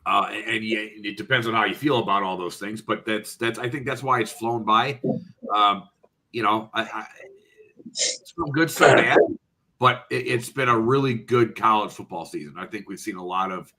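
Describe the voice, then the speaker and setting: A man's voice: fairly low pitch, moderate pace, bright, forceful tone, rough recording. A congested man with a slightly nasal voice co-hosting a sports podcast, thoughtful and full of "uh" and "um". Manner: thoughtful, lots of "uh" and "um" while he works out his points. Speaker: podcast co-host. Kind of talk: casual sports podcast chat.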